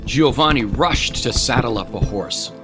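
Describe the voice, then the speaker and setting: A man narrating a scripted audio drama with measured, dramatic storytelling. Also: mid-range voice, animated. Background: music.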